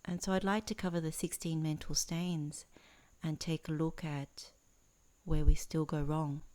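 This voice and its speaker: A woman teaching in a guided meditation, speaking in measured, earnest phrases with pauses between them. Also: deep voice, little pitch movement, dark tone. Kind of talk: guided meditation introduction, Dhamma talk.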